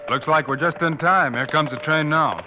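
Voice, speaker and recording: An actor playing a steady, heroic lawman in an old radio western, firm and matter-of-fact. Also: fairly high pitch, normal speed, highs cut off, natural voice. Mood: resolute. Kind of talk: 1950s radio western acting. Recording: noisy old radio recording.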